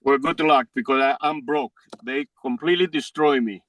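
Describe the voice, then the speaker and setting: A man's voice: mid-range voice, moderate pace, fairly bright tone, no room sound. An older man with a Greek accent, English his second language, pleading as a defendant in a courtroom in broken English. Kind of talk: courtroom testimony.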